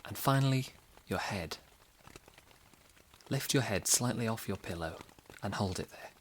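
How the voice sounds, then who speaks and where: A man hosting a guided sleep meditation podcast, giving soothing, gentle, unhurried instructions. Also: on the low side, lively intonation, slight background noise.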